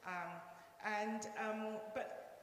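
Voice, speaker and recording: A woman's voice: medium pitch, steady tone, extremely slow. Hesitant woman from Malaysia in an echoey hall. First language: Cantonese. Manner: hesitant, many ums, gentle and earnest. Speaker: interpreter, church member. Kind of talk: casual testimony on stage. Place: church hall. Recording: echoey church hall with faint noise.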